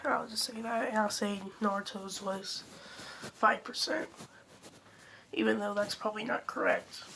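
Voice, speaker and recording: A woman's voice: mid-range voice, steady tone, a little slow, creaky, fairly bright tone, a little hiss or hum. A young woman hosting her channel, rambling through a casual fan theory with lots of fillers and self-corrections while she is losing her voice from being sick. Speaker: channel host.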